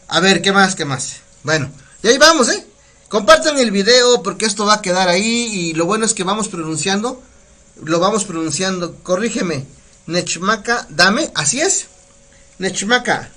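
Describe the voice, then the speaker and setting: A Mexican man with a Mexican Spanish accent hosting a casual live language lesson, chatty and laughing often, repeating words slowly for pronunciation. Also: high-pitched, bright, forceful tone. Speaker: community radio host teaching Nahuatl.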